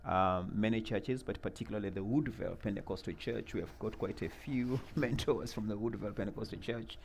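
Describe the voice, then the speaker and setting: A middle-aged man, from Uganda, answering interview questions about a mentoring program in an earnest, measured way, with many fillers and repeated words. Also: on the low side, gravelly, a little hiss or hum.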